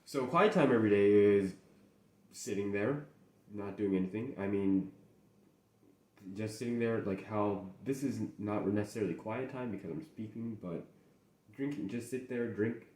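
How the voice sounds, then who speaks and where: A young man in casual solo chat as host, rambling and halting with many restarts, mentions speaking English natively. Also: fairly low pitch, darkish tone. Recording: echoey room.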